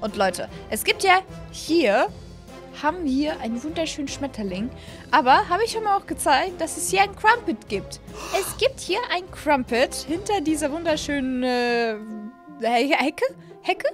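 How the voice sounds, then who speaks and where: A woman's voice: high voice, expressive, measured pace. A young woman in her twenties chatting casually and gushing as she comments on a game, thinking aloud, close to a studio mic. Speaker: gaming video host. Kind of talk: casual let's-play commentary. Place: small room at home, indoors. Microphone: close studio microphone on boom arm, headset worn. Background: faint background music.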